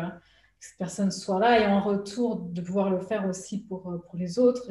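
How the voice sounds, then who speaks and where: A woman's voice: medium pitch, warm tone. A woman, chatting as an interview guest, hesitant with lots of fillers and frequent laughter. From Switzerland. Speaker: guest, artist and illustrator. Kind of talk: casual conversational interview. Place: at home during lockdown. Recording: echoey room.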